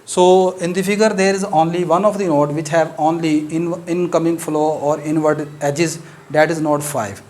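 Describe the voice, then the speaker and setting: A man with an Urdu accent delivers a maths lecture in English, his voice a touch higher than most. He explains each step carefully and pauses often with short fillers as he works through the example. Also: steady tone, a little mellow.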